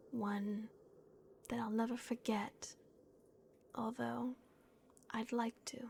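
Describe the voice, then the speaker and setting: A woman voicing a gentle, remorseful vampire guardian in a breathy, hushed whisper, as an ASMR roleplay. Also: fairly high pitch, slight background noise. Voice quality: breathy, whispered with creaky edges. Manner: slow, hushed, hesitant pauses. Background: dark castle ambience.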